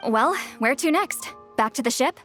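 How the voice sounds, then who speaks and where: A woman's voice: high voice, expressive, normal speed, fairly bright tone, soft background music. Earnest young shaman.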